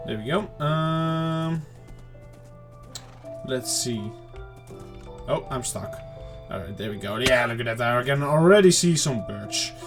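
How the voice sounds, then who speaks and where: A man giving casual, rambling let's-play commentary to viewers, his throat slightly off and clearing it now and then. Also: medium pitch, lively intonation, slow, pauses often, music in the background. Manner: rambling, chatty self-talk to viewers. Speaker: gaming channel host.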